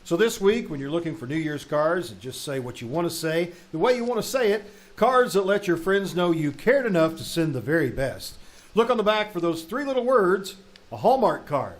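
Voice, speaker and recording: A man's voice: fairly high pitch, very animated, moderate pace. A man working as a radio announcer, reading a sponsor message and story narration in 1940s style. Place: small theater stage. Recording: live stage recording, background noise.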